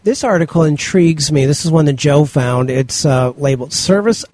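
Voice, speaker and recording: A man's voice: fairly high pitch, moderate pace, a little mellow. A middle-aged man hosting a casual podcast chat, rambling loosely and reading out article titles. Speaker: podcast host.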